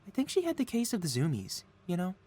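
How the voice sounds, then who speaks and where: A man's voice: sits high, huge pitch swings, fast, dark tone, full character voice. Man acting a theatrical, swooping mad scientist villain. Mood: gleeful, doting. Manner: melodramatic, playfully wheedling, feigned innocence. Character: gleeful, lovesick mad scientist supervillain boss, male, theatrical. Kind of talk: ASMR audio roleplay, scripted character acting.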